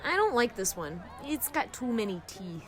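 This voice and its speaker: A woman playing a cheeky, irreverent, excitable friend who teases and wisecracks in an audio drama. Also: on the high side, moderate pace. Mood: playful, opinionated. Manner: wisecracking, teasing.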